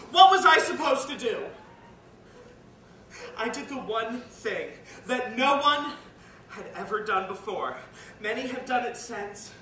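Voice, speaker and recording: A woman playing a bitter, guilt-ridden, washed-up reindeer parent in a confessional dramatic stage monologue that swings between hushed and loud. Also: mid-range voice, close to an everyday voice. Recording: echoey, distant, noisy live room recording.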